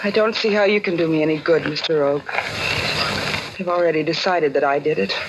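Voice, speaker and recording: A woman's voice: low voice, brisk. A woman playing an earnest, distressed young working woman under suspicion in a 1940s radio drama, pleading and resigned. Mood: resigned, recounting. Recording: muffled, faintly noisy 1940s radio recording.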